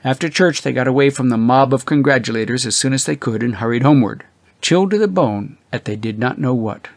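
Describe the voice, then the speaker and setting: Male audiobook narrator, mid-pitched, measured and dramatic literary delivery, very dry recording. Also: vocal fry, no echo.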